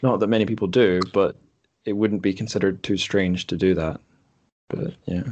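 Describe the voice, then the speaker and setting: A man's voice: low-pitched, steady tone, normal speed, darkish tone, highs cut off, slight background noise. A British man hosting a podcast, chatting casually in a tentative, musing way. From Britain. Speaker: podcast host. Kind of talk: casual group chat.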